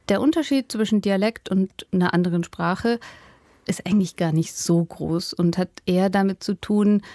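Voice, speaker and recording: A woman's voice: on the low side, mellow, no room sound, studio quality. A woman, a linguistics professor explaining her field in an interview with everyday examples and easy laughter. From Upper Franconia, Germany. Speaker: linguistics professor and author, guest.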